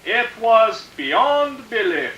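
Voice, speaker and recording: An actor playing a cautious, deferential general in a radio drama, speaking deliberately. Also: male voice, high voice, big pitch swings, a bit bright, echoey room, distant mic, a little hiss or hum, exaggerated voice, poor audio. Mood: deferential, eager. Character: deferential, uneasy male general, cautious conspirator. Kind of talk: old-time radio drama acting.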